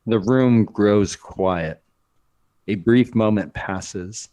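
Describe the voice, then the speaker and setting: A man narrating a screenplay aloud in a dramatic, deliberate scripted style. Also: on the low side, warm tone, highs cut off.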